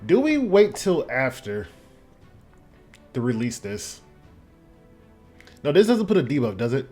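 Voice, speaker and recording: A man's voice: medium pitch, sing-song, measured pace, a little mellow, faint music behind. A man giving casual, rambling commentary on a game, reacting aloud as it goes. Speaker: gaming video host.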